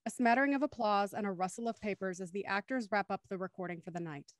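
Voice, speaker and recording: A woman's voice: mid-range voice, fast, dry recording. A woman narrating a radio play and hosting its livestream over a video call. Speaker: narrator and host. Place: indoors on a group video call.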